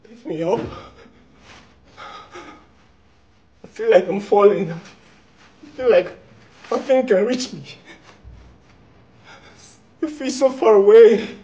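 Man acting a high-pitched, tormented possessed man. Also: warm tone, highs cut off. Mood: anguished. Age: young adult. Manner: anguished, halting, swinging into outbursts. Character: tormented, demon-possessed man, desperate and despairing. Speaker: actor. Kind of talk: dramatic monologue performance. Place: tiled living room, indoors. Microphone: phone a few steps away.

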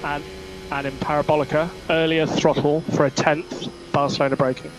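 A man's voice: a little high, level tone, moderate pace. A man working as a race engineer, giving terse, clipped technical instructions over team radio. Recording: noisy motorsport team radio.

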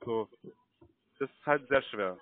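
A man from Germany speaks at an ordinary pitch over a dry, tinny phone connection. His talk is casual and halting, circling back on phrases and checking that he is followed. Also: no echo. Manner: halting, repetitive, insistent checking tags. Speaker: call center recruiter. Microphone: telephone.

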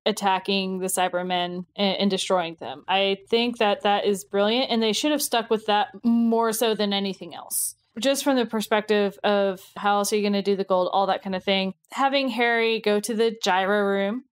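A woman chats casually as a podcast host. Her voice sits at an ordinary middle pitch for a woman. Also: fairly even intonation, normal speed, hardly any pauses. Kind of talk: casual chat.

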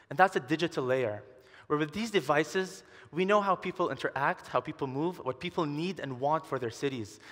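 A man in his twenties delivers a prepared stage presentation. His voice sits somewhat higher than most men's. The sound carries the long reverb of a large hall, picked up on a headset mic. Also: a bit bright. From Dubai. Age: 20s. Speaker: conference speaker, entrepreneur and urban designer.

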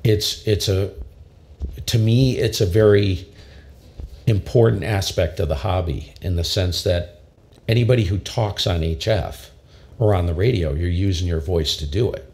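A man in his fifties with a very low voice, enthusiastically explaining ham radio audio gear in a casual tutorial from his home radio room. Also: measured pace, a little mellow. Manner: enthusiastic, emphatic, repeats himself. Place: home radio shack, small indoor room. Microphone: studio condenser mic with pop filter.

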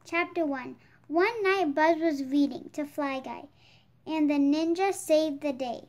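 A young child reads a picture book aloud in a very high voice. The delivery is slow, word by word, as a story for other children. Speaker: storybook reader.

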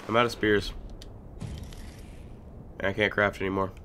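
A young man casually commentating a video game as he plays, chatty and joking, with sudden loud outbursts. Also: lowish voice, level tone, normal speed, slight background noise. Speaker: gaming YouTuber. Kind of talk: casual let's-play commentary.

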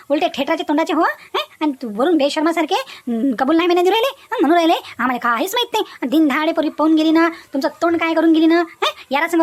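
An outraged middle-aged mother of a groom, acted by a woman, speaking extremely fast and very high. She harangues and taunts with open contempt in a Varhadi Marathi accent, as in a cartoon family drama. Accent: Varhadi Marathi, Vidarbha. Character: groom's scornful, domineering middle-aged mother, indignant and insulting.

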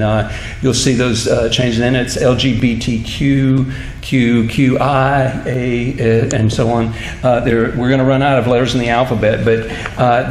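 A middle-aged man, a minister, giving an earnest, halting public talk at a church lectern into a stand microphone. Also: mid-range voice, darkish tone. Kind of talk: public lecture in church, reading from notes. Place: church stage at a lectern, indoors. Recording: roomy hall echo, clear background noise.

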